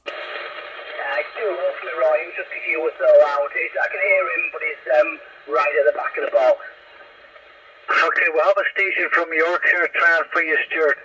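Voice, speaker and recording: A man's voice: on the high side, fairly even intonation, moderate pace, pushed and bright. A man chatting as an operator on two-way CB radio, heard through the set's speaker. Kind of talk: CB radio contact chat. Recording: distant, muffled, noisy CB radio reception.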